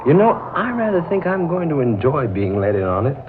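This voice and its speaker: An actor playing a suave, mysterious gentleman in a 1940s radio drama. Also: on the high side, very animated, a little fast. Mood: suave, teasing. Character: Duke, suave, mysterious businessman suitor. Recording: noisy, narrow-band old radio recording.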